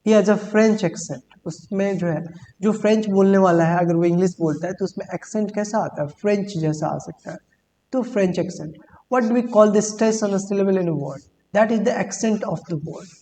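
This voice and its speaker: A woman teaching English vocabulary in Hindi, reading English examples slowly and deliberately and often repeating words. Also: medium pitch, warm tone. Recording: long hall reverb with faint hum.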